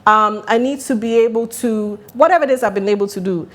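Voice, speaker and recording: A woman from Ghana whose first language is Italian, a guest on a casual podcast, talking in an animated way, laughing often and restarting her phrases. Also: fairly high pitch, fast talker.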